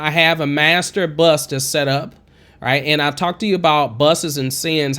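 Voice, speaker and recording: A man in his thirties talks through a home-studio tutorial in a high, twangy tenor that sits a little above most men's voices. He is chatty and encouraging and wanders off on side notes. He is close on a condenser mic. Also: a bit bright. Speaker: music production tutor. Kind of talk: casual screen-recorded tutorial. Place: home studio with green screen. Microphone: studio condenser mic with pop filter, wearing headphones.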